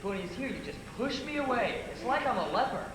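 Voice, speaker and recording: A man's voice: extremely high pitch, sing-song, fast. An impatient, jealous young lover played by a young man needles sarcastically in an amateur stage play. The sound is echoey and noisy, caught from the audience. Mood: jealous, sarcastic. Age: young adult. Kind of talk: amateur stage drama acting. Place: theater stage, indoors. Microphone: distant audience camcorder. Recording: echoey, noisy distant camcorder audio.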